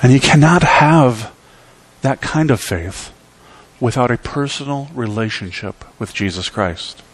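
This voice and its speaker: A man's voice: lively intonation, measured pace, a little hiss or hum. Middle-aged man preaching with rising emphasis. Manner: emphatic preaching with sweeping pitch and volume swings. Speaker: pastor. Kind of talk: sermon. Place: church sanctuary.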